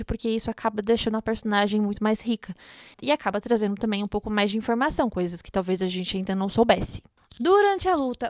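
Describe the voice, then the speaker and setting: A woman's voice: mid-range voice, no echo, studio quality. A Brazilian woman hosting a book read-along, commenting casually and expressively like a chatty, gushing fan.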